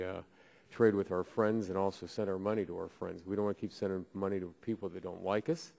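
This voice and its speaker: Halting low-voiced congressman from Ohio. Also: a little mellow. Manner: halting, with many filler pauses. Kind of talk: committee hearing remarks. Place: committee hearing room.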